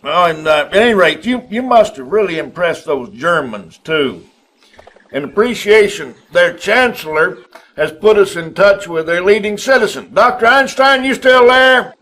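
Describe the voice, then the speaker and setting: An actor playing a folksy, gruff, confident American army general in a game cutscene, talking informally. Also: male voice, sits high, lively intonation, a bit bright. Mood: hearty. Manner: folksy, informal, drawn-out hesitations. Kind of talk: dramatic game cutscene acting.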